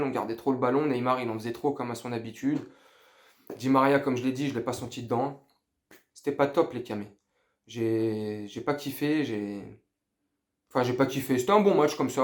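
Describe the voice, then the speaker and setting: A man's voice: medium pitch, a little mellow. Informal, hesitant man giving a football debrief. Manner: informal, slangy, hesitant and rambling; underwhelmed, lacking enthusiasm. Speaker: football fan vlogger. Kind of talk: casual post-match debrief to viewers.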